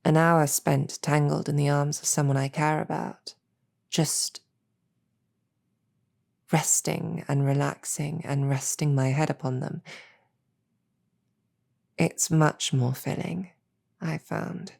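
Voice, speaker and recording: A woman voicing an affectionate, teasing female succubus demon in a soft, intimate roleplay audio drama. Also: steady tone, exaggerated voice. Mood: tender, earnest. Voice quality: breathy, soft, low. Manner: teasing, intimate, unhurried, halting. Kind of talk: roleplay audio drama, whispered sleep-aid ASMR.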